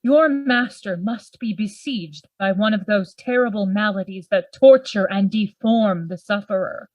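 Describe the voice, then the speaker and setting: A woman playing a sober, rational Victorian gentleman in a Gothic radio play, speaking formally. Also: mid-range voice, no room sound, highs cut off, lightly acted. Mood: reasoning. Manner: formal, measured, reasoning.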